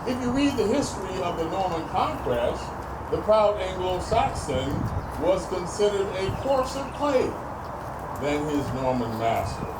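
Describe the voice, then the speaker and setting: A man playing a grave, defiant 19th-century abolitionist orator in a dramatized speech, emphatic and rhetorical, with deliberate pauses. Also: high-pitched, sing-song. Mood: proud, defiant. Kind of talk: dramatized historical political oration. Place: public re-enactment at a farm park. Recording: distant, echoey, very noisy live event recording.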